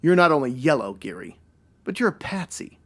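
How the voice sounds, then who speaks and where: A man's voice: fairly high pitch, big pitch swings, a little fast. A man playing a wry, self-assured ex-cop private detective in a 1940s radio noir style, full of wisecracking slang. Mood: accusing, wry. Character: male ex-cop private detective, wry, hard-boiled, sure of himself.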